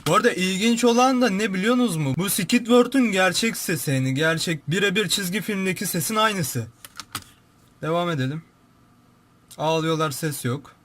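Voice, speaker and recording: A man's voice: sits high, expressive, normal speed, a bit bright, slight background noise. A young man giving casual, informal, exclamatory commentary as a YouTube host, describing what he sees. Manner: informal, exclamatory, narrates what appears on screen.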